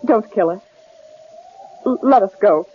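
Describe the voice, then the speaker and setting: An actress playing a frightened but determined captive wife in an old-time radio drama, speaking with halting, emotional earnestness. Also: female voice, medium pitch, expressive, husky. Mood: pleading. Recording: muffled, noisy old radio recording.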